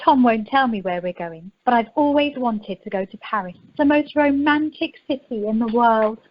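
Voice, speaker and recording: A woman's voice: a little high, animated, moderate pace, lightly acted. A woman playing a romantic, wistful newlywed bride in amateur radio comedy. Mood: dreamy. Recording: noisy, muffled telephone conference line.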